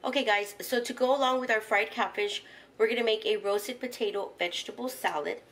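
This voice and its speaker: A woman's voice: a little high, fairly quick, a bit bright. Woman walking through a home recipe. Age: middle-aged. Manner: instructional, walks through each step with fillers. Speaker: home cooking channel host. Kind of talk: casual step-by-step cooking narration. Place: home kitchen.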